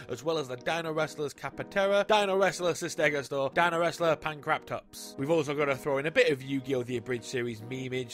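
Male host, mid pitch, casual and upbeat, light music underneath. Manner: chatty and enthusiastic, lists card names. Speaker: YouTube host. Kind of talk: casual card-deck commentary.

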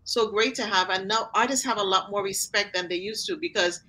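A woman's voice: mid-range voice, fairly quick, sharp tone. A middle-aged woman with a slight Trinidadian accent, hosting an interview show in an enthusiastic, encouraging way over a video call. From Trinidad and Tobago heritage. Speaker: talk show host and mental fitness coach. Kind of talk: warm upbeat interview hosting. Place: home room on a video call.

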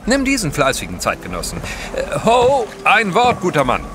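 Gentleman adventurer: mid-pitched, animated, jovial polish, radio drama with outdoor noise. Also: moderate pace, heavily acted. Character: cheerful gentleman adventurer, genteel and enthusiastic. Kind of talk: audio drama acting. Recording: clear outdoor ship ambience behind.

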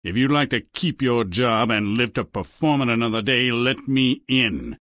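A man's voice: medium pitch, animated, moderate pace, a bit bright, no echo, clean studio sound, close to an everyday voice. A man voicing a suave, wheedling, theatrical frog who is secretly a king, in a fairy-tale video game. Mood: smug, wheedling. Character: smug, courtly male frog, secretly a king.

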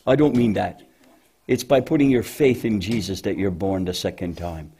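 Motherly female cow puppet, acted by a man, deep, husky, dark tone, patient, hesitant, children's show. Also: normal speed. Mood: patient, explaining.

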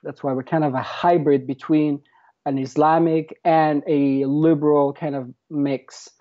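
A man in his thirties with a Moroccan accent, answering in a conversational interview, explaining haltingly and repeating words as he goes. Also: a little high, level tone, dull audio. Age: 30s. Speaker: podcast guest, activist.

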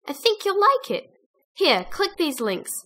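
A woman's voice: very high voice, big pitch swings, unhurried, bright, forceful tone. A woman giving scripted storybook-style narration of a cartoon adventure. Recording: music behind the voice.

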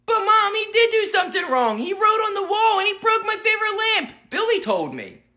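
A woman's voice: very high voice, sing-song, brisk, sharp tone, heavily acted. A woman voicing a strict, exasperated mother in a comedy skit, firm and matter-of-fact. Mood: protesting.

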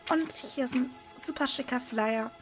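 A woman with a hoarse voice, casually commenting as she unboxes trading cards at a desk. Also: sits high, normal speed, soft background music. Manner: relaxed, with frequent hesitation fillers. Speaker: card unboxing video host. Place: indoors at a desk, hands over table. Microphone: small camera-mounted microphone.

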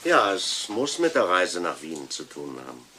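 Low-voiced detective, slightly distant. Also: male voice, wildly swooping pitch, a little slow, sharp tone, a little hiss or hum, exaggerated voice. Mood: polite, firm. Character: polite, firm police detective. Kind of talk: German crime radio play acting.

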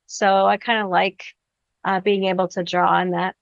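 A woman's voice: mid-range voice, flat delivery, normal speed, creaky, dry recording, highs cut off. Earnest middle-aged woman teacher, dry sound. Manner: earnest, thoughtful, with fillers. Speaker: middle school teacher, guest. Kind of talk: podcast interview. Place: classroom.

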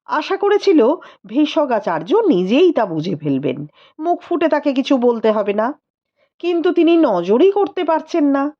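A woman narrating a literary story in an expressive, measured, dramatic audiobook style. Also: high-pitched, dull audio.

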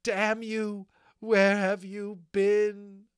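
A man's voice: fairly high pitch, sing-song, a little slow, no room sound, clean studio sound, voice pushed up. A man voicing a bitter middle-aged peasant woman in a storybook, fierce, wounded and scolding. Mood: anguished fury. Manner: scolding, anguished outbursts.

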